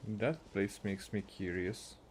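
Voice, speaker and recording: A man's voice: lowish voice, slow, a little hiss or hum. A man with a Hungarian accent casually commentating on a video game, musing aloud in short fragments. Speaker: game streamer.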